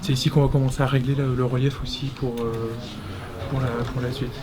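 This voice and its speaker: Man guiding a studio tour, halting. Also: medium pitch, flat delivery, crowd noise. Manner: halting, many restarts and fillers. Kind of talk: casual explaining while walking. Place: animation studio offices, indoors. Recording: loud background noise.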